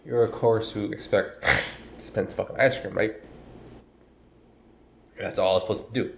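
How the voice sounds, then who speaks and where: A man's voice: lowish voice, fairly even intonation, normal speed, vocal fry, roomy sound, muffled recording. A man with a stuffy cold giving a casual, slangy, profane sermon, calling listeners "bro" and "man". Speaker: preacher. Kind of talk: casual street-style sermon.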